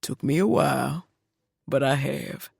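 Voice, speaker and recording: A woman voicing a gentle older widowed father speaking softly and tenderly to his daughter. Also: unhurried, vocal fry, husky, dry recording, studio quality, exaggerated voice. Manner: soft, low, tender.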